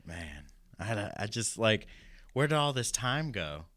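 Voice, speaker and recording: A man's voice: fairly low pitch, big pitch swings, unhurried, a bit bright, no room sound. A man in his thirties co-hosting a podcast, casually teasing his co-host with dry remarks. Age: 30s. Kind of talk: casual chat.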